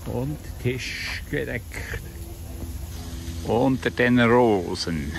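An older man with a Swiss German accent talking casually and haltingly for a farm video diary. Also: mid-range voice, creaky. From Switzerland. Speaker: farmer and vlogger. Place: tractor cab and open field. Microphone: phone at arm's length. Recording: loud engine and outdoor noise.

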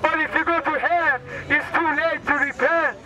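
A man's voice: very high-pitched, fairly even intonation, moderate pace, rough recording. A man street preacher delivering fiery, declamatory exhortations in short bursts, with non-native phrasing. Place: outdoors on a busy city sidewalk at night. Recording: loud crowd and traffic on city street.

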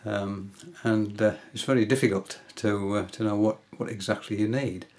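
An older man at home giving a hesitant, casual tutorial, often pausing with fillers as he shows his reels. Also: on the low side, steady tone, darkish tone. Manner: hesitant, many fillers and restarts. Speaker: angling hobbyist giving a tutorial. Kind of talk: casual explanatory demonstration. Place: indoors, small room at home.

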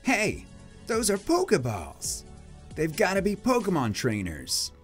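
A man's voice: sits high, wildly swooping pitch, measured pace, faint music behind, pitched above the performer's own voice, heavily acted. A man voicing a bossy, menacing villain gang member in a comic manga reading. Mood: commanding.

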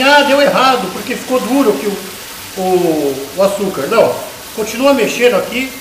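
A middle-aged man demonstrating a recipe step by step in his home kitchen, explaining patiently and checking in with the viewer as he works. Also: high-pitched. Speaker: home cooking show host. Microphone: camera mounted overhead. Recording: echoey kitchen with light background noise.

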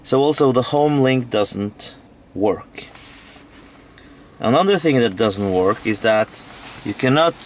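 A man's voice: medium pitch, expressive, slow pace, long pauses. A middle-aged Norwegian man whose first language is Norwegian, speaking English with a Norwegian accent, explaining car features in a plain, slightly wry way from inside a moving car. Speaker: car owner demonstrating features. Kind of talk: casual spoken walkthrough. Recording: loud road and car noise.

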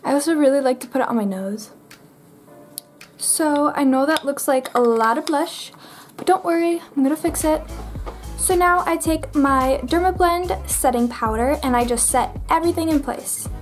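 A woman's voice: high voice, normal speed, faint music behind. A teenage girl chatting through her makeup routine at her bedroom vanity, bubbly and full of "like", getting over a cold. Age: teens. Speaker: beauty vlogger. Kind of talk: makeup tutorial chat.

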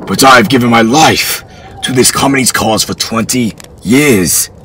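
A man's voice: mid-range voice, moderate pace, slight background noise, natural voice. A man voicing a stern middle-aged father. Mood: pleading. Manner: pleading, then shouting in rage.

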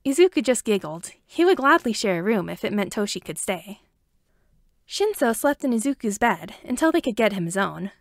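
A woman's voice: on the high side, no room sound, studio quality. A woman narrating a scripted story as an amateur audiobook reader.

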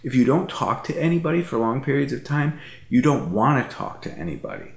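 A man's voice: medium pitch, big pitch swings, brisk, vocal fry, reverberant. A man in his thirties chatting casually on a couch at home, rambling and repeating himself with lots of fillers. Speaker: vlogger. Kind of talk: casual chat. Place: living room couch at night.

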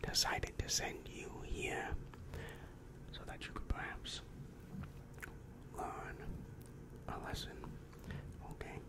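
A young man playing a calm, reassuring demon guidance counselor in an ASMR roleplay, whispering breathily and patiently explaining, close to the microphone. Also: natural voice. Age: young adult. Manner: slow, soothing, patient explaining. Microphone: close-up microphone with foam windscreen.

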